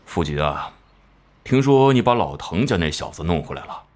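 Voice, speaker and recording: A man voicing a stern, shrewd older police bureau chief in an animated crime drama. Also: sits low, moderate pace. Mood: shrewd, warning. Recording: faint music under the voice.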